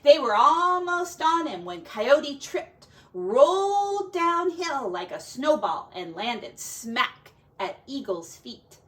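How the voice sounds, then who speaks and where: A woman reading a children's folk tale aloud in an expressive, dramatic and deliberate way, like a teacher. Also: sits high. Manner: dramatic, deliberate, with quiet asides to young listeners.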